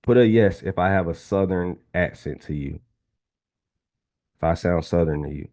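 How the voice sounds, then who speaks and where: A man's voice: low-pitched, normal speed, mellow. A man in his twenties from Atlanta, casually teaching a live online trading class and talking to the chat. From Atlanta, Georgia. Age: 20s. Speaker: trading instructor.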